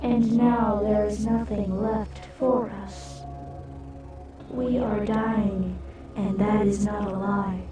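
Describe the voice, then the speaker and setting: A ravenous, dying creature voiced by a woman speaks slowly and deliberately, as one voice for many. It is menacing and ominous, set back from the mic under loud music in an audio drama. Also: mid-range voice, level tone, recorded from a distance, lightly acted. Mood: menacing, grieving.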